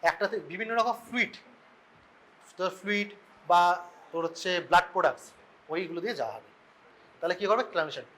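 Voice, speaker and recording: A male medical lecturer with a Bengali Indian accent and a notably high voice. He speaks quickly in an informal classroom style, posing questions, answering them himself and checking that everyone follows. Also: lively intonation, sharp tone, poor audio. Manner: explains through questions and answers, repeatedly checking understanding.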